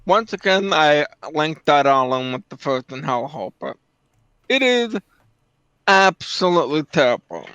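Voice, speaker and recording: A man's voice: on the high side, very animated, measured pace, sharp tone, clean tone, dry recording, lo-fi sound. A man giving casual, opinionated commentary as a guest on a stream.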